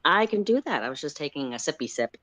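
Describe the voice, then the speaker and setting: A man's voice: on the high side, big pitch swings, quick pace, sharp tone, no room sound. A man co-hosting a livestream in a casual style, as he chats and reads fan fiction aloud. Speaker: co-host and story narrator.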